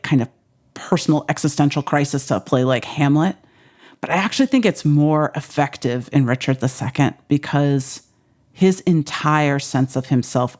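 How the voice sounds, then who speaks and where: A woman's voice: sits low, a little mellow. A woman professor giving conversational expert commentary on literature.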